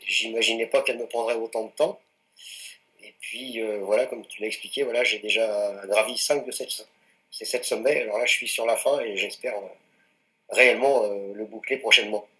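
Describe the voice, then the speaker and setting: A man in his late forties from France's Anjou region, a mountaineer answering interview questions in a relaxed, hesitant way with many fillers. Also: on the low side, fairly quick, poor audio. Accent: French. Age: 40s. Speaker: mountaineer guest.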